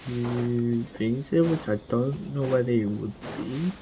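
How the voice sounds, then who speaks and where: A man's voice: mid-range voice, takes it slowly, poor audio. A man giving casual gameplay commentary, rambling and thinking aloud as he plays. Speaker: gaming streamer. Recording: loud game music behind. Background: video game music.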